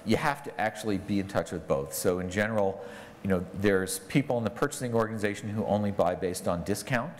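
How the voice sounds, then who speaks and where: A middle-aged man addresses a conference audience from the stage in a relaxed, conversational way, tagging his points with a quick "right?". His voice sits a little low. It rings out with the long reverb of a large hall through his lapel mic. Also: a little hiss or hum. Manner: conversational, often tags lines with "right?". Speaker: conference speaker.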